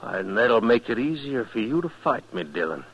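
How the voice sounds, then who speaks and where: A man's voice: mid-range voice, very animated, moderate pace. An American actor playing a cocky, menacing gunman in an old Western radio drama, drawling and taunting in terse lines. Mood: cold challenge. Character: menacing, cocky male gunman spoiling for a fight. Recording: dull old-time radio recording, crowd murmur.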